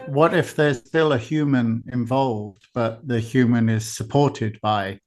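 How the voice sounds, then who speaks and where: A man's voice: mid-range voice, measured pace, faint music behind. A man hosting a podcast, asking interview questions with some hesitation and fillers. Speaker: podcast host.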